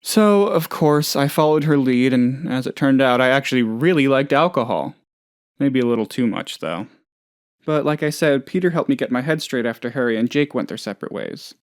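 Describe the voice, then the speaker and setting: A man's voice: mid-range voice, animated, moderate pace, smooth, no room sound, clean studio sound, natural voice. A man playing a hapless, trusting, lonely oddball in a video game, talking in a rambling, hesitant, good-natured way. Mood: confessional, subdued. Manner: rambling, hesitant, naive, chatty. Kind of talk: video game character dialogue.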